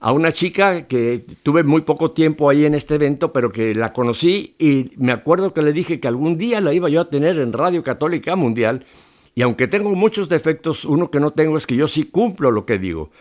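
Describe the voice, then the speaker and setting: A man's voice: on the high side, expressive, a little fast. A middle-aged Mexican man hosting a casual radio chat, talkative and joking. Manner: chatty, jokey, warm storytelling. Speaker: radio host.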